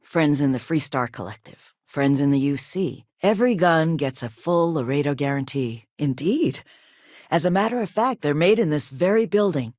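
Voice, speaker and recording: A woman voicing a composed, businesslike company owner in a video game, with a polite, measured and formal manner. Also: sits low, a little mellow, dry recording, studio quality. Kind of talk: video game dialogue acting.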